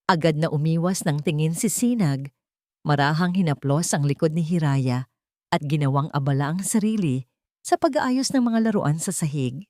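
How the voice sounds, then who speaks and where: A woman's voice: deep voice, mellow, dry recording, clean studio sound. A woman with a Filipino accent reading a scripted, dramatic story as its narrator.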